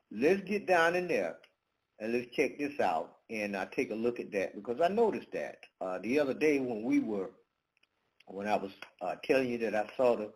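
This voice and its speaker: A man's voice: fairly low pitch, darkish tone, low-quality recording. A man hosting his own channel, talking his viewers through a topic in a loose monologue full of fillers and restarts. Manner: halting, many fillers and restarts. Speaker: channel host. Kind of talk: casual monologue to camera.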